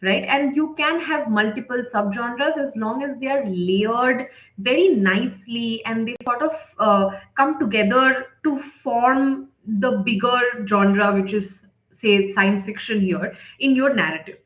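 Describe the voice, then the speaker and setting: Female instructor, higher register, explanatory live-session delivery with check-ins, recorded with strong room echo. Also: measured pace, a bit bright. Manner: explanatory, often checking in with "right?". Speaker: editor leading a writing session. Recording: roomy, echoing sound.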